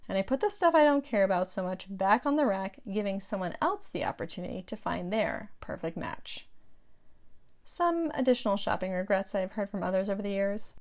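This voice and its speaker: A middle-aged woman narrating her own audiobook from a script, with measured, clearly phrased delivery. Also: medium pitch, animated.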